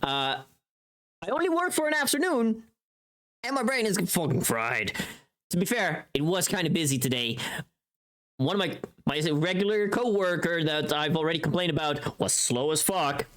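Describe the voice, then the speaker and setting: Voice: mid-range voice, sing-song, moderate pace, a bit bright. A streamer chatting casually on a livestream in a playful, teasing, sweary way.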